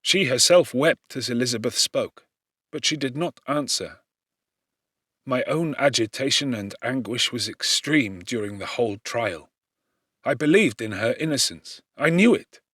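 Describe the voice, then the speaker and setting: A man narrating a classic novel as an audiobook, in a formal, expressive and dramatic reading manner. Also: mid-range voice, a little slow, no room sound, studio quality.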